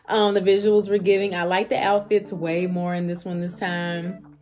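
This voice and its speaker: A woman's voice: fairly low pitch, little pitch movement, normal speed, darkish tone. A woman giving a casual, slangy music reaction, repeating phrases for emphasis. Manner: slangy, informal, emphatic repetition. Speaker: reaction video host.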